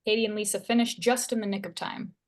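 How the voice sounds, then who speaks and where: Woman narrator with medium-pitched voice. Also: fairly even intonation, quick pace. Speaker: narrator reading stage directions. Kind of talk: scripted narration at a screenplay table read.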